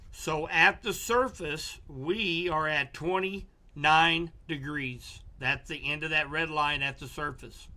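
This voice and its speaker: A middle-aged man with a hoarse, fading voice, slowly walking viewers through weather charts on a live stream. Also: on the high side, bright, forceful tone. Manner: slow, explanatory, walks viewers through charts. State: hoarse, losing his voice. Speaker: local weather forecaster.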